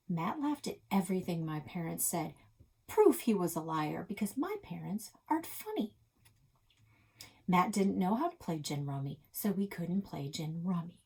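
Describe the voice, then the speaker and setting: A woman's voice: fairly low pitch, darkish tone, husky. A woman reading a children's novel aloud as its first-person narrator, expressively, leaning on key words. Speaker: read-aloud reader for a public library.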